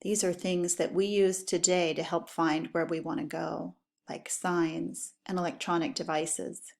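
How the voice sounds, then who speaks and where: A woman teacher reading educational text aloud for pupils, with clear, deliberate, instructional phrasing. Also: lowish voice, flat delivery, darkish tone.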